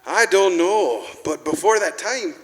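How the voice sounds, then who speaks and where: Man acting a careworn, high-voiced husband. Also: expressive, fairly bright tone. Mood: relieved, earnest. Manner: earnest, measured, emotional. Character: careworn, tender husband of modest means. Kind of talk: live radio-play acting of Victorian story. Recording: reverberant church hall, music behind. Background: music.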